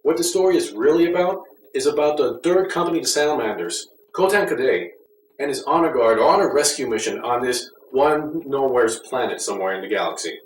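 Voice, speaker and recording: A man's voice: mid-range voice, animated, fairly quick. Man reviewing in an echoey room. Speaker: audio drama reviewer. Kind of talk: casual review commentary. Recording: roomy echo, distant mic, faint noise.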